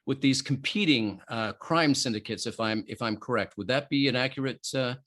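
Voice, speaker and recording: A man's voice: medium pitch, normal speed, a bit bright. A man hosting a podcast interview, speaking conversationally and phrasing his questions carefully. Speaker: podcast host and interviewer, former detective.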